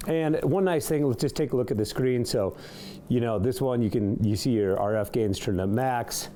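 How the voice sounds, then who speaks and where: A man's voice: medium pitch, normal speed, warm tone, slight background noise. A man giving a casual, unscripted product demonstration in a studio, slightly hesitant as he works through the features. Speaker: product reviewer demonstrating a CB radio. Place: indoor studio.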